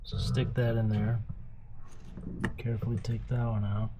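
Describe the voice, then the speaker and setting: A man with a raspy voice, patiently showing his son how to take apart and clean a carburetor, one step at a time. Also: lowish voice, level tone, a little slow, dark tone, a little hiss or hum. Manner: patient, plainspoken, explains step by step. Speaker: dad teaching his son carb cleaning. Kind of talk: casual hands-on demonstration.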